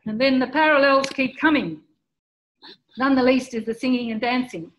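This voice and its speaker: A woman's voice: high-pitched, moderate pace. A woman giving a community history talk over slides, presenting plainly and steadily. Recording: dull lo-fi recording with faint noise.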